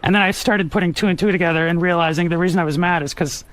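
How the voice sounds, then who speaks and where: A man with a high-pitched voice in casual, candid radio talk, frank and a little defensive. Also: steady tone, racing, a bit bright, smooth, poor audio. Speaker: radio show staffer and guest.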